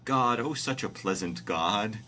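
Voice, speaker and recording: A man's voice: lowish voice, animated, unhurried, sharp tone, a little hiss or hum. A man voicing a naive Jesus with stilted, declamatory broken English. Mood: earnest.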